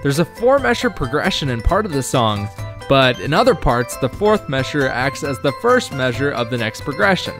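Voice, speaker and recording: A man's voice: mid-range voice, very animated, normal speed, music in the background. A young man narrating a casual vlog in a wry, self-deprecating way. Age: young adult. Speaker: vlogger, game developer.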